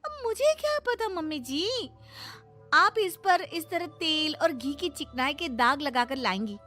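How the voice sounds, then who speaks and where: A woman voicing a defiant, sharp-tongued young daughter-in-law in a cartoon moral story, arguing insistently. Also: sits high, lively intonation, measured pace, soft background music, pitched above the performer's own voice, exaggerated voice. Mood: defiant, annoyed. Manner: argumentative, insistent.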